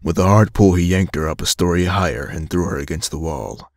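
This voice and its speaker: A man's voice: sits low, brisk, dry recording, clean studio sound. A man reading scripted story narration as the narrator of an audio drama. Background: music.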